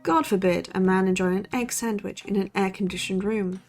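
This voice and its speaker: A woman's voice: medium pitch, fairly even intonation, brisk, a little mellow, soft background music, close to an everyday voice. A woman playing a sceptical, dry-witted young student podcaster in an audio drama. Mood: sardonic. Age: young adult. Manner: sardonic, dry. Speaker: podcast co-host character. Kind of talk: conversational audio drama acting.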